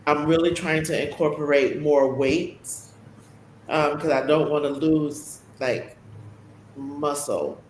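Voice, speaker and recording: A man with a fairly high voice talks casually at a slow pace. The room is very echoey, with faint noise behind him. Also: steady tone. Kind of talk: casual conversation.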